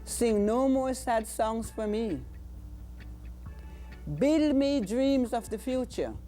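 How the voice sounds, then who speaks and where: An older Jamaican woman reciting poetry in a solemn, measured, declamatory manner. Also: on the high side, dark tone, faint music behind. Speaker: poet.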